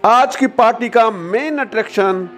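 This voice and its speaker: A woman's voice: a little high, racing, faint music behind, lightly acted. A woman voicing an affectionate, delighted mother puppet in a children's show, in a gushing manner.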